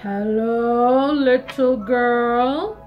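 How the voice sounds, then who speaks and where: A woman voicing a sly, greedy big bad wolf in a storybook tale, lowering and stretching her voice. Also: drawn-out words, highs cut off, faint music behind.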